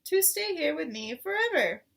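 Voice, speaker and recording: A woman's voice: very high voice, sing-song, moderate pace, fairly bright tone, recorded from a distance, voice pushed up, heavily acted. A woman voicing a proud male fox leader giving an emphatic speech in a children's storybook. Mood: grand, generous.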